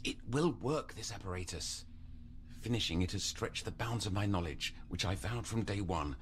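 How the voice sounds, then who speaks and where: An obsessive psychiatric counsellor played by a man in an audio drama, coaxing, grandiose and controlling. His delivery is formal and theatrical, with a very animated pitch and faint noise behind it. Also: moderate pace, lightly acted. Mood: intense, coaxing.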